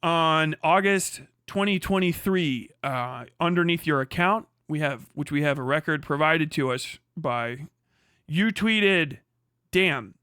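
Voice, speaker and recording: A man voicing a methodical male lawyer who asks a witness question after question in a flat, procedural way. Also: on the high side, clean tone, dry recording, studio quality.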